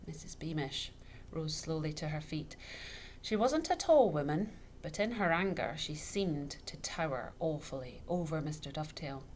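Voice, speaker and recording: Woman storyteller, slightly low voice, expressive scripted narration, dramatic emphasis, noticeable background noise. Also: normal speed. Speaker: storyteller reading a children's book.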